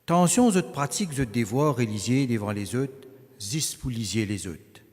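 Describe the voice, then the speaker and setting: A man reading scripture aloud at a church service, measured and emphatic. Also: mid-range voice, expressive, darkish tone. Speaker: scripture reader. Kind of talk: liturgical Bible reading. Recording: long reverb of a large hall.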